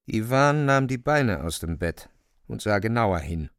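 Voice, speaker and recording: A man's voice: lowish voice, big pitch swings, unhurried, clear, resonant tone, dry recording, clean studio sound. A man narrating a radio drama in a scripted, literary storytelling style.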